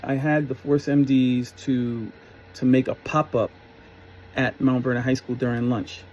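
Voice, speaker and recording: Middle-aged man, soft dark tone, casual storytelling, conversational, rambling and reflective. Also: medium pitch, little pitch movement, measured pace, dull audio, slight background noise. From New York area, US. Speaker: storyteller, former concert promoter.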